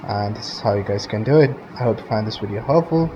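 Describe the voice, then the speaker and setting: A man hosting a step-by-step software tutorial, narrating instructions to viewers. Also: fairly low pitch, lively intonation, fast. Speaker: tutorial host. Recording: muffled lo-fi audio with clear background noise.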